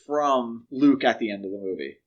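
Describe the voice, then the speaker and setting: A man's voice: medium pitch, expressive, normal speed, highs cut off. A man hosting a podcast, discussing films casually in a conversational, opinionated way. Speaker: podcast host.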